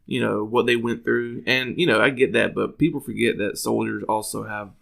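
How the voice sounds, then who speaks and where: A man co-hosting a casual talk show, speaking in a halting way and often repeating his phrases. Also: medium pitch, fairly even intonation, creaky, fairly bright tone. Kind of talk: casual chat.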